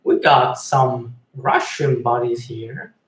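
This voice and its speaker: A man from Switzerland, hosting a live-stream chat and reading viewer messages aloud, speaking in a halting way with many fillers and restarts. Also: medium pitch, steady tone, a bit bright. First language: Swiss German and Italian. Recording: echoey room with faint noise.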